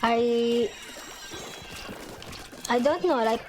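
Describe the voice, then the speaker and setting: A woman's voice: on the high side, a little mellow, a little hiss or hum. Young woman with an Arabic accent. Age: young adult. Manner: halting, many restarts and fillers. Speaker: gaming channel host. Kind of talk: casual chat over gameplay.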